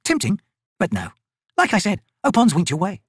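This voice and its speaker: Man voicing a blunt woman soldier, pitched way up. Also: sing-song, moderate pace, husky, no echo, clean studio sound, heavily acted. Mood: brisk, wry. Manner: blunt, teasing, commanding. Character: tough, brisk woman soldier, a blunt corporal. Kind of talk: audiobook character voice.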